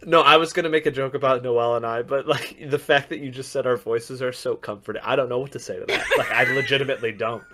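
A man's voice: mid-range voice, steady tone, fast talker, hardly any pauses, pushed and bright, slight background noise. A young man co-hosting a casual podcast, chatty and joking, with plenty of fillers. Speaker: podcast co-host. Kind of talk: casual chat.

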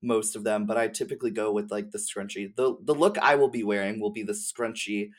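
A man's voice: on the low side, steady tone, fairly quick. A young man chatting casually about gaming as a podcast guest, effusive and slangy, peppering his talk with "like". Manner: effusive, slangy, uses "like" heavily. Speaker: podcast guest, game streamer.

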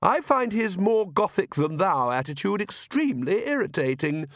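A man's voice: high voice, very animated, normal speed, fairly bright tone, no room sound, clean studio sound, voice pushed up, exaggerated voice. A man voicing a snobbish, fussy middle-aged vampire countess in a storybook, affected and disdainful.

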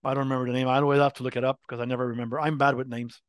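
A middle-aged French Canadian man, a native French speaker, answering interview questions in a candid, animated way. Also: medium pitch, racing, clear, resonant tone, no room sound, studio quality. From Quebec, Canada. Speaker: guest, brand marketing head.

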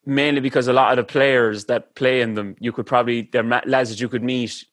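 A man's voice: mid-range voice, steady tone, brisk, fairly bright tone, no echo. Chatty Irish man, dry close sound. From Ireland. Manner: rambling, chatty, lots of fillers. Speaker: podcast host. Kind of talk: casual chat.

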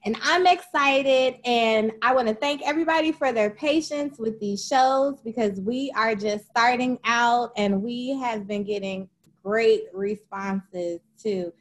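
A woman's voice: a little high, unhurried. A woman hosting a casual interview show as a life coach, chatty and upbeat, often checking in with "okay?" and laughing easily.

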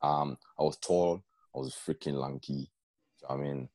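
A young man with a very deep voice, telling personal stories in an earnest, conversational way and often asking "do you know what I mean". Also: fairly even intonation, fairly quick, a little mellow, no room sound. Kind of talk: casual group chat.

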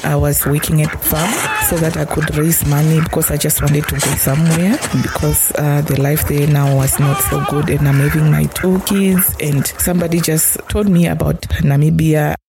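A middle-aged woman from Zimbabwe with a Zimbabwean accent, telling her life story in an interview in a soft, halting, reflective way. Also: low voice, little pitch movement, vocal fry, loud music behind the voice. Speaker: children's home caregiver.

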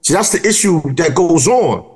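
A man's voice: a little high, lively intonation, normal speed, darkish tone. A man co-hosting a podcast, arguing his points firmly in casual conversation. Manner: argumentative, stresses points firmly. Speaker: podcast co-host.

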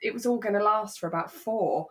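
A woman's voice: mid-range voice, brisk, fairly bright tone. A British woman co-hosting a podcast, chatting casually and informally as she recaps a radio drama. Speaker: podcast co-host.

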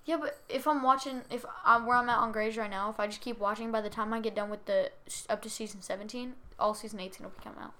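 A woman's voice: on the high side, steady tone, quick pace, hardly any pauses, pushed and bright, slight background noise. A teenage girl co-hosting a podcast in casual chat, talking with a slight stutter and laughing easily. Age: teens. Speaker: podcast co-host.

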